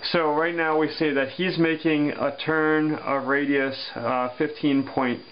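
Slow-talking male physics teacher. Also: a little high, steady tone. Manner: slow, thinking aloud with many pauses and fillers. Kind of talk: worked-example lesson over paper. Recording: dull lo-fi sound with faint hum.

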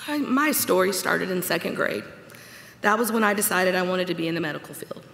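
A woman's voice: lowish voice, lively intonation, brisk, creaky, fairly bright tone. A woman in her forties giving a graduate's commencement speech at a podium, earnest and personal with touches of humor. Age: 40s. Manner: earnest, personal, read from notes with light humor. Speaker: graduate speaker. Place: large auditorium stage at a podium. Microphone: podium microphone. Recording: echoey hall with long reverb.

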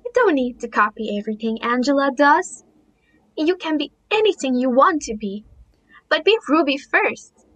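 A woman voicing a kind, gentle schoolteacher in a children's storybook, with encouraging, clearly enunciated speech. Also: sits high, animated, normal speed. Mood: gentle, caring.

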